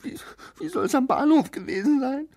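An actor voicing a tiny, anxious male alien visitor in a children's radio play, halting and plaintive. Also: high-pitched, lively intonation, gravelly, a little mellow, no room sound, clean studio sound. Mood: pleading, plaintive.

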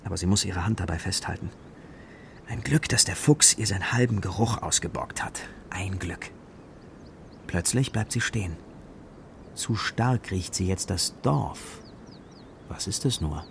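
A man's voice: low voice, gravelly, a little hiss or hum, exaggerated voice. A man narrating a children's radio play as a storyteller, musing in rhetorical questions. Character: storyteller following a worried girl's adventure.

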